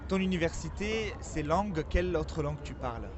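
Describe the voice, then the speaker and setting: A male TV host with a somewhat high voice asks questions in a friendly, informal way. The audio is dull and lo-fi, with music clearly running underneath. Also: animated, a little fast. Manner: friendly, informal, addresses guest as tu. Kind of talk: interviewing a guest.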